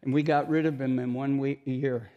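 A man's voice: medium pitch, steady tone, fairly quick, dull audio. A man preaching an informal sermon, chatty and self-mocking, joking with the congregation between scripture readings.

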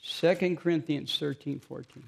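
A man's voice: a little high, steady tone, normal speed, vocal fry, slightly rough. A man preaching an informal sermon, chatty and self-mocking, joking with the congregation between scripture readings.

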